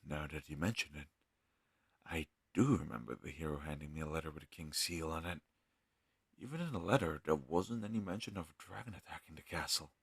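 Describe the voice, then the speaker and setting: A deep-voiced, gentle healer mage from a fantasy audio roleplay, acted by a man. He speaks close and dry, thinking aloud in an earnest, slightly hesitant way to his travel companion. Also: brisk, creaky, slightly rough, dry recording, exaggerated voice. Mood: puzzled, reasoning. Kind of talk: scripted fantasy audio roleplay addressed to the listener.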